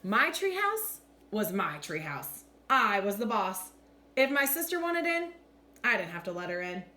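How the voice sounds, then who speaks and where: An earnest young boy from a children's storybook, acted out by a woman, speaks emphatically, with pitch jumping around in a lively way. The room sounds echoey. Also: a little slow. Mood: bossy, defiant. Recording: echoey room with faint background noise.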